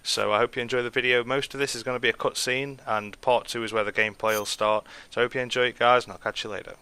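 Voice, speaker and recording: A man's voice: mid-range voice, fairly even intonation, fast, sharp tone, smooth, dry recording. A man hosting a gaming video, introducing it in an informal, chatty way. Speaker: gaming channel host. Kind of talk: casual game video introduction.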